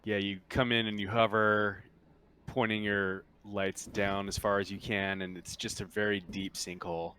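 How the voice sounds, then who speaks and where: A man acting as game master, narrating a tabletop roleplaying scene in a halting, measured way. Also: lowish voice, little pitch movement, pushed and bright, clear, resonant tone.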